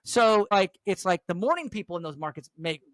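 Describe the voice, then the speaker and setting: Middle-aged radio guy with a high voice, chatty, dry close sound. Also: animated, brisk, fairly bright tone, no echo. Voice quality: higher voice. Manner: chatty, storytelling, frequent restarts. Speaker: radio host. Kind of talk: casual chat.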